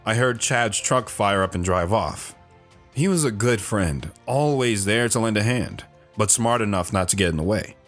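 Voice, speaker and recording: A man's voice: lowish voice, expressive, moderate pace, a bit bright, faint music behind. A man playing an earnest, lovestruck lone wanderer recounting his life in a reflective, sentimental audio drama style. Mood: tender, nostalgic. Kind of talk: dramatic first-person audio drama narration.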